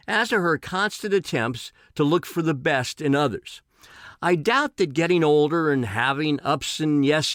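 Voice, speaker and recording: Higher-pitched, expressive man reading. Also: dry recording, studio quality. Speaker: writer reading own essay. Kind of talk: scripted personal essay reading.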